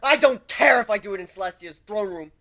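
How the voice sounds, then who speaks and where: A man's voice: sits high, lively intonation, a little fast, creaky, a bit bright, pitched above the performer's own voice, full character voice. A man voicing a wounded, vengeful but fragile male griffin warrior in a theatrical dramatic story reading. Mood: enraged, ranting. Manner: intense, theatrical, swinging into brooding.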